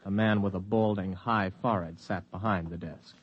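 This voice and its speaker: An actor playing a weary, blunt veteran flight officer, plain-spoken and terse, in 1950s radio drama style. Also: male voice, low voice, fairly even intonation, moderate pace, highs cut off, background noise, lightly acted. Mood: narrating, weary. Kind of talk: 1950s radio drama acting with first-person narration.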